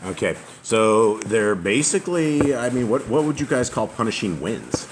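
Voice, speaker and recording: A man with a loud, booming voice leading a casual family scripture reading in a house open to the outdoors, reading passages aloud. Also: sing-song, normal speed, background noise. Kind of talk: casual group Bible study. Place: house open to the outside, noisy roof. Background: faint outdoor ambience.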